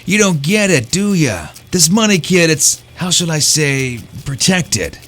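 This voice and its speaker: A man's voice: a little high, animated, unhurried, fairly bright tone, a little hiss or hum. Ominous older casino man. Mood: wry, warning. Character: knowing, ominous older male casino counting-room man. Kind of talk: audio drama acting.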